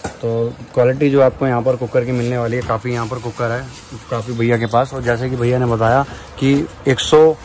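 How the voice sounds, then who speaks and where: A man walks viewers through a crowded shop, talking casually into a handheld phone camera. He keeps echoing the seller's answers back to his audience in a mid-pitched voice. A faint hum sits behind him. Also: steady tone, moderate pace, a little mellow. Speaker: vlogger. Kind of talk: casual shop-tour vlog. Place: crowded cooker shop, indoors.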